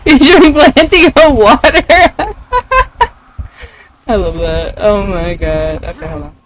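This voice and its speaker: A woman's voice: on the high side, slow, background noise. A woman streamer chatting casually and reacting to a game, informal, giggly and given to exclaiming.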